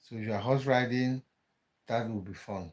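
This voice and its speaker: A man's voice: medium pitch, normal speed. Chatty man hosting a livestream. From Cameroon. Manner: chatty, reads comments aloud and thanks viewers, repeats words as he thinks. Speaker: livestream host. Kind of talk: casual live chat with viewers.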